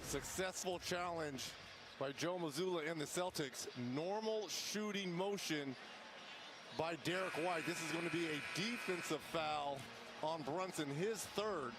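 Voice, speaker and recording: Man, slightly high voice, live game analysis, loud arena noise behind. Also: measured pace. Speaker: basketball broadcast commentator. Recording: very noisy arena broadcast. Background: basketball arena noise, bouncing ball.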